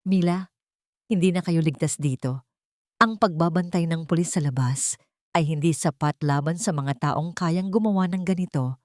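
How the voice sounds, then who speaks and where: A woman narrator voicing a protective, determined male lawyer who explains things calmly and earnestly. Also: fairly low pitch, normal speed, no room sound, clean studio sound, natural voice. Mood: calm concern.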